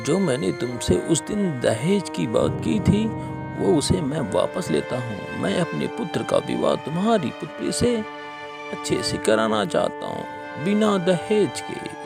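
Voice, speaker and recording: Man playing a cartoon village landlord, apologetic, earnest, varied intonation, creaky, music behind. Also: on the high side, huge pitch swings, unhurried, full character voice. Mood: contrite. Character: contrite village landlord, father of the groom. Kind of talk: Hindi moral cartoon story acting.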